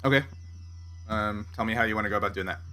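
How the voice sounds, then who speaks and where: Guy running a tabletop rpg, bright punchy voice, casual and jokey narrating. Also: fairly low pitch, fast, faint music behind. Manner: informal, joking, conversational. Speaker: game master. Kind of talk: improvised game narration, casual chat.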